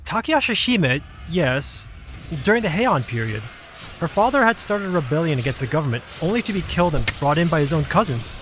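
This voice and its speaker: A man voicing an ancient, dry and sceptical mythical creature in an audio drama, husky and theatrical, explaining things in a measured way. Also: a little high, sing-song, a bit bright, soft background music. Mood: knowing, explanatory.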